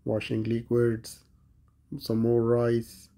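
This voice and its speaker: A man's voice: medium pitch, monotone, slow, dark tone. A man vlogging a walk through a large grocery store, plainly listing produce and prices. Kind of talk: grocery store walkthrough narration.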